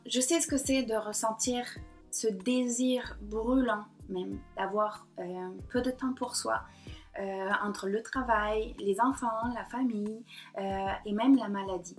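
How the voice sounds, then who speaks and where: Woman with a mixed southern French and Québécois accent. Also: a little high. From south of France. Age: 30s. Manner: hesitant, many pauses and fillers. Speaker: painter and blogger. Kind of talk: personal confiding vlog to camera. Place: at home in front of her computer. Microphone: computer or laptop mic.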